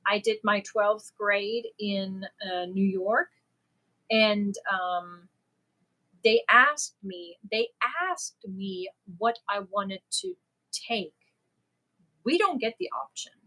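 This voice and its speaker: A woman's voice: medium pitch, slow, sharp tone, poor audio. A woman from Germany, chatting casually and a little nervously as she hosts a live stream, prone to giggling. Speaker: crochet live stream host.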